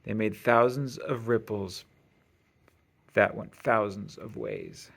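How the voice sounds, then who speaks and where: A man reading a rhyming children's picture book aloud in an expressive, sing-song storytelling manner. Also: on the low side, takes it slowly, gravelly, husky. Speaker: storybook reader.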